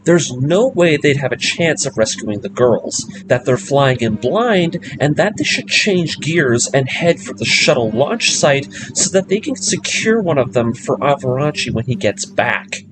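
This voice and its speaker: A man's voice: mid-range voice, very animated, moderate pace, hardly any pauses, background noise. A man narrating an episode-by-episode story breakdown as host, moving between scripted recap and casual commentary.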